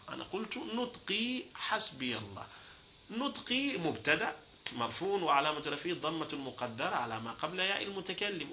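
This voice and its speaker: A man's voice: high-pitched, sharp tone, a little hiss or hum. A man teaching Arabic grammar in a recorded lesson, explaining step by step through worked examples and asking and answering his own questions.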